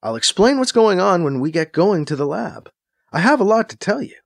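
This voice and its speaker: A man acts out a sly, insistent woman in a science fiction audiobook. The voice is pushed up high and swoops about with a husky edge. She is teasing and sarcastic, quick to get exasperated. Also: very animated, dry recording, heavily acted.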